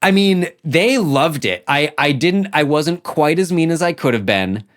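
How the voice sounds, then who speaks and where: A man hosting a casual interview, who feels his way toward each point in a halting, circling manner. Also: fairly high pitch, lively intonation. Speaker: podcast host, interviewer.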